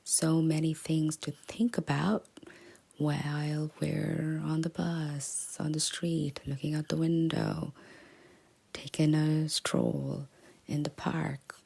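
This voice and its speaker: A woman hosting a podcast, giving closing remarks and thanks to listeners in a soft, half-whispered way. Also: sits low, level tone, slow, vocal fry, breathy edge. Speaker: podcast host.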